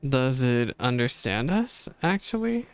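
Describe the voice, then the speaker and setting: A man's voice: medium pitch, sing-song, a little slow, clear, resonant tone, dry recording. A man chattily musing aloud as a streamer reacting to a game, in casual commentary.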